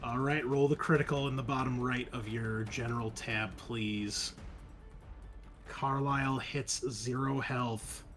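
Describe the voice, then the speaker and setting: A man's voice: medium pitch, steady tone, unhurried, a bit bright, faint music behind. A man narrating a tabletop horror game as game master, casually mixing vivid description with rules talk and some swearing.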